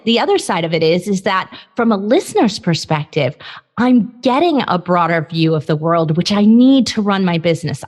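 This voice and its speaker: A woman's voice: medium pitch, long reverb tail. A woman hosting a podcast interview, enthusiastic and affirming toward her guest. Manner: enthusiastic, affirming, quick to laugh. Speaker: podcast host.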